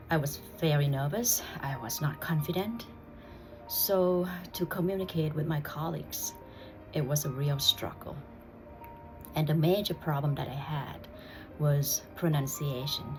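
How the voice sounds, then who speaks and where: Slow, soft-spoken Thai woman. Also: low-pitched, poor audio. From Thailand. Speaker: vlogger. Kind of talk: personal vlog with read-aloud passage.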